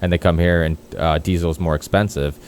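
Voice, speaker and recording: Rambling, swearing man, low voice. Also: steady tone, brisk, darkish tone, slight background noise. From Portugal. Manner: rambling, profane, many restarts. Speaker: podcast host. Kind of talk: casual podcast chat.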